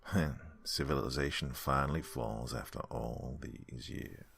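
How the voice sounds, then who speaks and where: A man playing a gruff, defensive father and survivor in a horror audio story, with a gravelly, husky voice. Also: extremely low pitch, fairly even intonation, measured pace, a bit bright, soft background music, full character voice. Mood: wary, grim.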